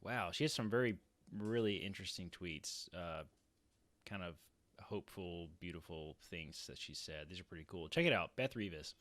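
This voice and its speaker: A man's voice: low voice, moderate pace, fairly bright tone, no echo. A man in his thirties chatting casually as a podcast co-host, close on a microphone in a small home room. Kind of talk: casual chat. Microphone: close studio microphone with headset.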